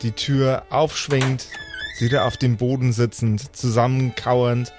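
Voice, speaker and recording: A man narrates an improvised tabletop story as game master at a slow pace. His mid-pitched voice is measured and descriptive, pausing deliberately, with faint music underneath. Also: fairly even intonation.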